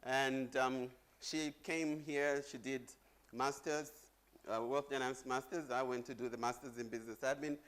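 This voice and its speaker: A man's voice: medium pitch, steady tone, moderate pace, fairly bright tone. A middle-aged man from Zambia giving a chatty conference presentation on stage, joking with the audience. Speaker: conference speaker.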